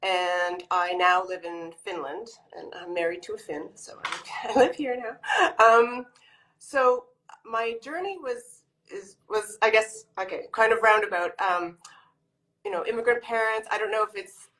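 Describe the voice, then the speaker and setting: A woman's voice: medium pitch, a little slow, fairly bright tone, poor audio. A woman in her forties from Canada, talking in a casual interview over a video call, with lots of ums and restarts. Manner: chatty, many ums and restarts. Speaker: author guest.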